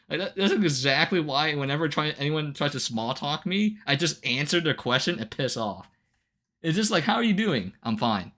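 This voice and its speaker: A man chatting casually on a livestream, ranting to viewers in an incredulous, animated way with casual swearing. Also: on the high side, moderate pace. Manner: laughs often between remarks. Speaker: live streamer.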